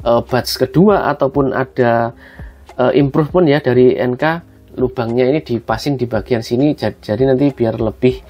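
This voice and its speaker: A man's voice: mid-range voice, moderate pace, highs cut off. A man with a Javanese-region Indonesian accent gives a casual hands-on tutorial, explaining things to viewers as a product reviewer talking to friends. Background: background music.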